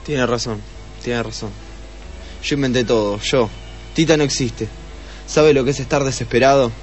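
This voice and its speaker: An actor playing a desperate, lovelorn swindler in a radio melodrama, speaking haltingly. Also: male voice, mid-range voice, steady tone, faint music behind, close to an everyday voice. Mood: defeated. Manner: halting, stammering, repeating words. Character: desperate, lovelorn male swindler, cornered and sorrowful. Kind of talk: melodramatic radio drama acting.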